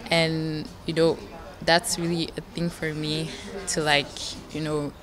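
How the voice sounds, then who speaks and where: A woman's voice: low-pitched, level tone, a little slow, crowd noise. A young woman from Belgium, a pageant contestant, answering interview questions in a hesitant way with many fillers. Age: young adult. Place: indoor lounge on a sofa. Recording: music playing behind the voice. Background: background music.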